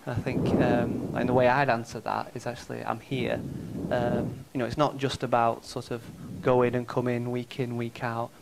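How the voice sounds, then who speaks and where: A man's voice: medium pitch, completely flat delivery, normal speed, vocal fry, few pauses. A man answering a question about church thoughtfully, with many "you know" fillers. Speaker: churchgoer guest. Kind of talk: reflective casual answer. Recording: noisy background.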